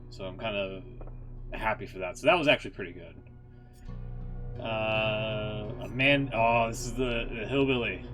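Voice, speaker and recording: A man with an average-pitched voice chats casually as a game streamer. His delivery is informal and rambling, reacting off the cuff with the occasional swear. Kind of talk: casual live stream commentary.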